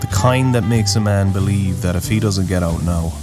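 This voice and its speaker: Very deep-voiced man narrating solemnly. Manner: solemn, poetic, deliberate. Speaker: narrator, host of a story series. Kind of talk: scripted dramatic storytelling narration. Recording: music bed under the voice. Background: music.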